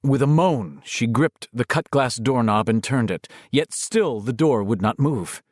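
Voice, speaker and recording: A man narrates a horror audiobook in a mid-pitched voice, recorded close and dry. His reading is dramatic and suspenseful, with expressive shifts in intensity. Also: unhurried, no room sound.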